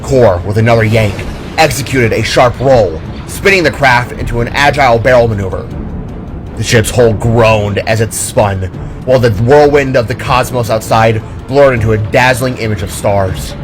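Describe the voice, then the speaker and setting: A man's voice: medium pitch, normal speed, music in the background. A man narrating a scripted audio drama in a dramatic storytelling manner.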